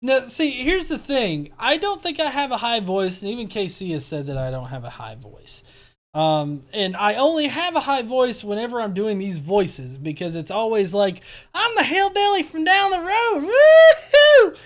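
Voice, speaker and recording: A man's voice: sits high, big pitch swings, normal speed. A man hosting a casual commentary stream, talking in a sarcastic, profane, rambling way. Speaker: host.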